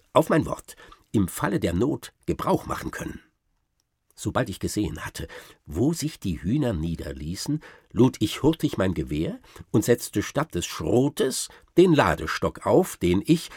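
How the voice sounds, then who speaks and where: Radio play raconteur: self-satisfied gentleman hunter, lowish voice with very animated, swooping delivery. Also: breathy edge, dry recording, studio quality, exaggerated voice. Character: boastful gentleman hunter spinning absurd tall tales. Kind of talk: theatrical first-person storytelling, German radio drama.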